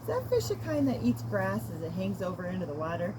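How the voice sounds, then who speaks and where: A woman voicing an excitable, wonder-struck little girl in a children's storybook, full of gushing, curious questions. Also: medium pitch, quick pace. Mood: delighted. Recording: noisy recording with loud background noise.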